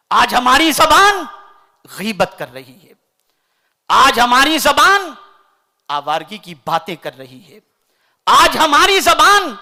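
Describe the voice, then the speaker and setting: A man from India, preaching a sermon in an oratorical style that swings between loud declaiming and soft recitation. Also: very high voice. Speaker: Islamic preacher.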